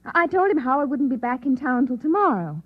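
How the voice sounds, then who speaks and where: A doubting, exasperated housewife from old radio drama. Her voice sits fairly high and moves expressively, turning dry and sarcastic, on a somewhat lo-fi vintage recording. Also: sing-song, fast talker. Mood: dry disbelief. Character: skeptical, weary housewife doubting a wild tale.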